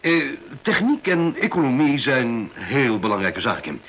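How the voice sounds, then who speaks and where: Animated, self-important school director. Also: male voice, mid-range voice, a little slow, slight background noise. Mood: lecturing, self-assured. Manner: hesitant fillers, officious, lecturing. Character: defensive, self-important male school director pitching efficiency. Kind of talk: Dutch radio play acting.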